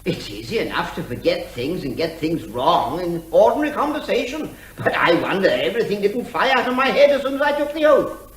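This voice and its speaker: A man's voice: high-pitched, big pitch swings, brisk, reverberant, poor audio. An actor playing a garrulous, plaintive everyman in the witness box, fussy and nervous in manner. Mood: flustered, plaintive. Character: flustered, garrulous, plaintive ordinary man as court witness. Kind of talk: comic courtroom drama.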